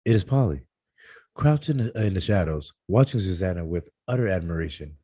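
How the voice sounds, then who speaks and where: Deep, husky man reading stage directions. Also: animated, a little fast, mellow, dry recording. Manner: stumbles over words and corrects himself. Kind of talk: live screenplay table read narration.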